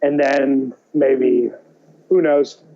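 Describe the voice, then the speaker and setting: A man co-hosting a podcast, chatting casually and thinking aloud in halting, hesitant phrases. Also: mid-range voice, steady tone, creaky, lo-fi sound. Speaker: podcast co-host. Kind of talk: casual chat about movies and games.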